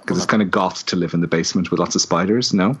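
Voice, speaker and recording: A man co-hosting a podcast, casually reviewing a film with lots of ums and pauses. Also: low-pitched, slightly rough. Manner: relaxed, many ums and hesitations. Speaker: podcast co-host. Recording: dull lo-fi audio.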